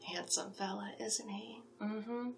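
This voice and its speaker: A woman acts out a female sphinx who works as a monster matchmaker in an ASMR roleplay. She speaks softly and slowly, with a creaky edge that slips into whispering. Her manner is chatty, coaxing and full of flattery, over faint background noise. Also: medium pitch. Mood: gossipy, flattering. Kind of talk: soft-spoken ASMR roleplay.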